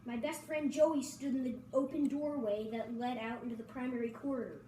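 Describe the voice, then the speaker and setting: High female voice, story narration, first person, distant mic, echoey room. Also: fairly even intonation, a little fast, dark tone. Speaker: reader at a table read. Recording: roomy echo, distant mic, faint noise.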